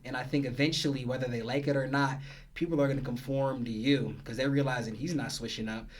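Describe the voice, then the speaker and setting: Guy on a podcast, chill slangy storyteller, gets animated, regular pitch. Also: fast. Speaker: guest. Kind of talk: casual podcast chat.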